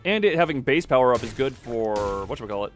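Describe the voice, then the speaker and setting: A man's voice: medium pitch, animated, quick pace. A man doing casual livestream game commentary, thinking aloud in a rambling way and often trailing off mid-sentence. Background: game music.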